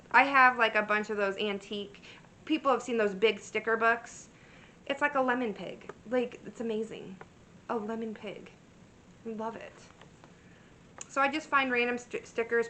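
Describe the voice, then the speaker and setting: A woman's voice: on the high side, normal speed, bright, forceful tone. Woman, chatty, rambling, slightly worn voice, mic set back, faint background hiss. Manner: rambling, chatty, full of fillers and restarts. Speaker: cross-stitch vlogger. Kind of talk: casual show-and-tell chat. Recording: echoey room, mic at a distance.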